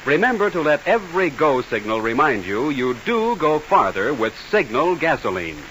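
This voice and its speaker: Lively male vintage radio announcer. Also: fairly high pitch, moderate pace. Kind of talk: 1940s radio sponsor announcement. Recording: hissy old radio recording.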